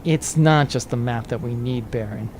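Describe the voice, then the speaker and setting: Man playing a cool, low-key calculating schemer. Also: normal speed, background noise, lightly acted. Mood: grave, plotting. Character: cool, calculating male schemer hunting a treasure vault. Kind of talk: space opera audio drama acting.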